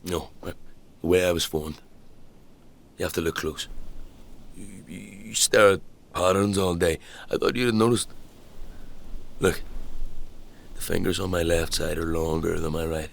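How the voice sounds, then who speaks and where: A man's voice: low voice, measured pace, vocal fry, long pauses, full character voice. An actor playing an uneasy, self-deprecating man in a fantasy audio drama, stammering and searching for words. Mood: playful, awkward. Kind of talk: audio drama acting, naturalistic dialogue.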